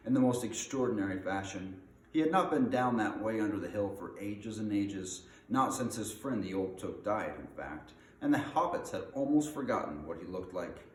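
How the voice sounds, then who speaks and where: Lowish, very animated male storyteller. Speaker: reader of an audiobook. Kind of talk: expressive storybook narration. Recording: roomy echo, faint background noise.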